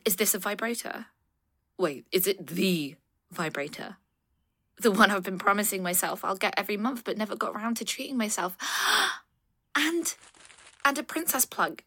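A young woman playing a playful, bratty girlfriend in an intimate roleplay audio, expressive and halting, with a breathy voice that often drops to a whisper. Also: medium pitch, no room sound, studio quality. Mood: excited, grateful. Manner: expressive, halting, swinging from exclamations to murmurs. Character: playful bratty adult girlfriend, affectionate and easily flustered. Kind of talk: intimate roleplay audio acting, addressed to an unseen partner.

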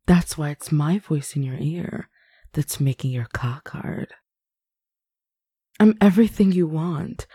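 A woman speaking an intimate roleplay in a teasing, commanding, sultry manner, close to the mic and often dropping into breathy whispers. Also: low voice, dark tone, no room sound. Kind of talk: intimate adult roleplay audio.

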